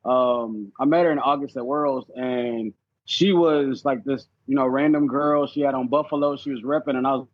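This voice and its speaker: A man's voice: mid-range voice, steady tone, normal speed. A man guesting on a podcast, telling stories colloquially and checking in with the listener, over a dull lo-fi connection. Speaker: podcast guest, player and mentor. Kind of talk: casual storytelling.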